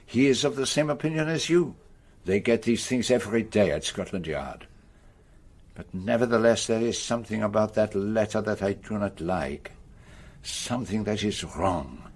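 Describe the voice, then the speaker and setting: An ageing Belgian gentleman detective in a radio play, his voice low and his French-speaking Belgian accent unmistakable. He is vain and exact, laying out each phrase with deliberate theatrical care. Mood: musing. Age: older. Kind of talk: radio drama acting.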